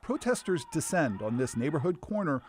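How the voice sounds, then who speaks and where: A man's voice: a little high, sing-song, moderate pace, darkish tone. A man with an American accent narrating a scripted investigative news report.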